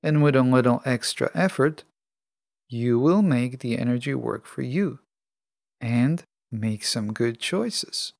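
A man hosting a self-help podcast alone, speaking in measured, deliberate phrases. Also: mid-range voice, gravelly, no echo, studio quality. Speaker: podcast host.

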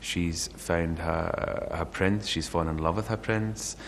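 A man's voice: low voice, fairly even intonation, a little slow, slight background noise. A man in his forties giving conversational commentary as an interview guest.

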